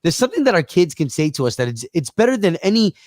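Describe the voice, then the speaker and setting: A man co-hosting a radio show who talks quickly and stumbles over repeated words. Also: sits high, sing-song, darkish tone, dry recording, studio quality. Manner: fast and stammering, repeats words. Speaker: radio co-host. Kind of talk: casual radio interview chat. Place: radio studio.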